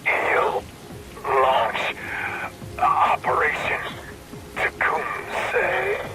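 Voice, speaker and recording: A man's voice: fairly high pitch, huge pitch swings, drawn-out words, loud music behind the voice, heavily acted, rough recording. An actor playing a gloating male villain in a video game, with a theatrical, taunting drawl. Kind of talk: video game cutscene acting.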